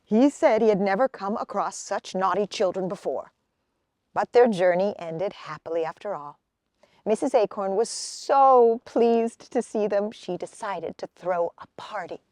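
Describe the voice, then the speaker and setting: A woman's voice: mid-range voice, a little slow, darkish tone, no room sound. Woman storyteller, dry and close sound. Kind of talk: picture book read aloud for children.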